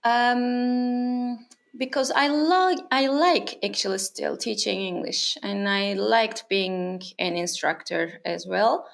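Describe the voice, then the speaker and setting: A woman in her thirties from Turkey, a native Turkish speaker, answers an interviewer's questions. Her voice sits a little high and has a gravelly edge. She talks thoughtfully, pausing and restarting as she goes. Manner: thoughtful, with frequent fillers and restarts. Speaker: interview guest, freelancer. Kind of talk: casual interview conversation. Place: her home on an island.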